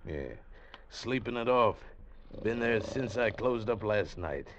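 An actor playing a world-weary island barkeeper in 1940s radio drama, colloquial and matter-of-fact. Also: male voice, lowish voice, animated, slow pace. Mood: offhand. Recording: noisy, muffled vintage radio recording.

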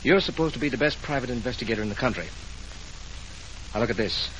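A man performs an anxious, earnest gentleman from a radio mystery. He speaks formally and intensely in a bright, tense voice. There is light noise on the old recording. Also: mid-range voice, lively intonation, fast talker, close to an everyday voice. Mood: urgent, grave. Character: earnest, anxious male businessman seeking help. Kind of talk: 1940s radio detective drama acting. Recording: noisy old radio transcription.

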